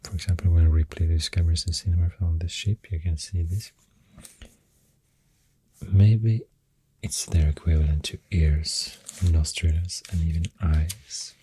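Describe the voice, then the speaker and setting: A man with a Swedish accent speaking softly in a halting, half-whispered ASMR ramble, close to the microphone. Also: sits low, steady tone, poor audio. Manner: hushed, breathy, half-whispered. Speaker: ASMR host. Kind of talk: soft-spoken ASMR rambling.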